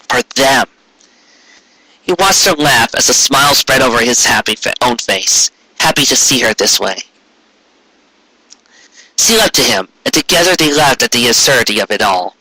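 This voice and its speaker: A man reading a story aloud in an expressive, theatrical way, lingering over lists of words. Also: medium pitch, no echo, rough recording. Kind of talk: expressive scripted narration with occasional asides.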